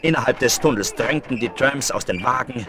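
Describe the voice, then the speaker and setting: A man's voice: mid-range voice, a little fast. A man giving dramatic scripted narration in a 1970s western radio play. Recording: noisy vinyl, loud train sound behind.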